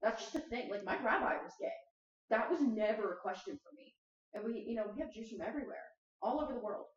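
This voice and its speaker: A woman's voice: a little high, expressive, quick pace. Young female guest, chatty, off-mic in a roomy space, a bit dull. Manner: chatty, many "like" fillers. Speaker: podcast guest. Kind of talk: casual conversation. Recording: echoey room, distant mic, dull sound.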